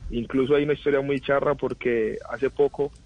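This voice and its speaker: A young man, giving thoughtful radio interview answers with many pauses. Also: mid-range voice, fairly even intonation, muffled recording. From Colombia. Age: 20s. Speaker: footballer guest.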